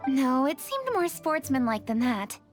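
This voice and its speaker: A woman's voice: high voice, big pitch swings, measured pace, loud music behind the voice. An actress playing a poised, formal young woman in an anime-style video game, articulate and composed.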